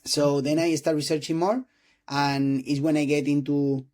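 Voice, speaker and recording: A man in his twenties from Spain, speaking English with a Spanish accent as a non-native speaker, hosting an interview with halting restarts and frequent throat clearing. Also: fairly high pitch, flat delivery, darkish tone. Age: 20s. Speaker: host interviewing a doctor. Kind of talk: casual interview talk.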